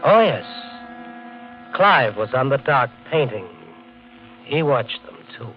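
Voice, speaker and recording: A man's voice: medium pitch, big pitch swings, slow pace. An actor playing a tense, suspicious hotel keeper in a 1950s radio drama, telling his story in a clipped, hard-boiled way. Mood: brooding. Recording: muffled 1950 radio recording with music.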